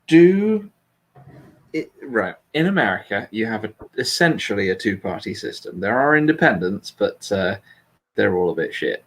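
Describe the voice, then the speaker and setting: A man's voice: on the low side, sing-song, moderate pace, a bit bright. A British man hosting a casual political chat, speaking bluntly with swearing and a self-deprecating streak. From Britain. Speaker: host.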